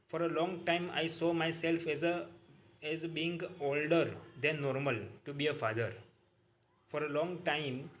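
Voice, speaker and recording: A man reading a book aloud in a halting way, repeating each sentence and correcting his own slips. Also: a little high, fairly bright tone.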